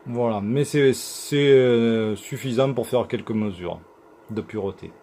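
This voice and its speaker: Male technician, informal workshop demo, mellow medium-pitched voice, hesitant and halting delivery, noisy room tone. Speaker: radio repair technician presenting his work. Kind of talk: informal equipment demonstration. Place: electronics workshop.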